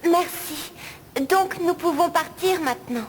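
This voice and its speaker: An actress playing a sweet, sensible young girl in a French cartoon, gentle and earnest. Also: very high voice, a little slow, big-room sound, a little hiss or hum.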